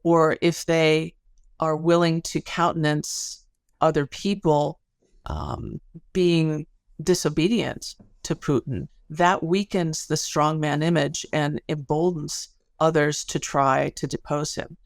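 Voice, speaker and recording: Woman with a creaky, dry-recorded voice. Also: low-pitched, slow pace, dry recording. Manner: articulate, analytical. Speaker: interview guest, sociology researcher. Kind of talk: explanatory interview talk.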